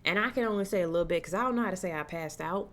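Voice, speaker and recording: Low-voiced young woman telling a story. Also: racing. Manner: animated, acts out quoted voices, casual slang. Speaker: horror vlogger. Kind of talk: casual storytelling to camera.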